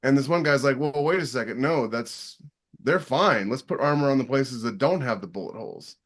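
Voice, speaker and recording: Male podcast co-host, medium pitch, conversational, emphatic storyteller. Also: animated, moderate pace. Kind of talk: casual podcast discussion.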